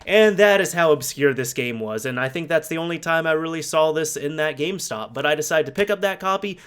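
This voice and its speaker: A man with a slightly high voice speaks as a gaming video host. His delivery is casual and conversational. He leans on key words and restates points for emphasis. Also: animated, brisk, a bit bright, clean tone. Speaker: video game YouTuber presenting to camera. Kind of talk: casual video commentary with personal anecdotes.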